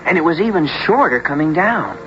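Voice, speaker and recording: A performer playing a bold, excitable teenage country boy in a radio drama, with folksy rural phrasing. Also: medium pitch, fairly quick, over loud music, lightly acted. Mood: wondering, resolute. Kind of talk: 1970s radio drama acting and narration. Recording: muffled, hissy old radio recording.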